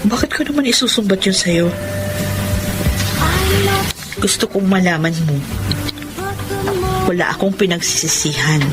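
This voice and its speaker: Earnest young woman, played by an actress, Filipino accent, theatrical romance radio serial, sincere, loud background music. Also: medium pitch, expressive, moderate pace, lightly acted. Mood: anxious, earnest. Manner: sincere, emotive, theatrical delivery. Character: earnest young woman graduate, timid about first love. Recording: loud music bed under voice.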